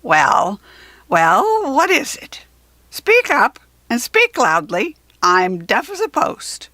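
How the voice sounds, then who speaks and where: A woman plays a cranky old owl from a children's storybook. He is half deaf and sleepy, so he talks loudly and curtly in a scratchy voice. His pitch lurches up and down as he grumbles. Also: huge pitch swings, takes it slowly, no echo, full character voice. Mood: grumpy, startled.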